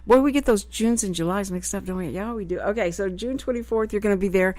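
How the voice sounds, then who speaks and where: A woman hosting a live local radio talk show, chatting in an animated way and often cutting in. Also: medium pitch, fast, dry recording. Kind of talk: casual live radio chat. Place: radio studio.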